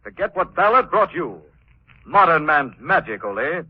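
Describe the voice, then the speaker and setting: An actor playing an assured crime-fighting gentleman hero in 1940s radio drama style, speaking in a measured, explanatory way. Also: on the high side, very animated, husky. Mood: confident, probing. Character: assured male crime-fighting hero, wealthy man about town. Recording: tinny, narrow 1940s radio recording.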